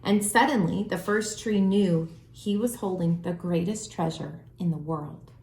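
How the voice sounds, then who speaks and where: A woman's voice: fairly low pitch, measured pace, vocal fry, darkish tone. Woman storyteller in an echoey room. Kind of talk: children's storybook read-aloud. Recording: roomy, echoing room sound.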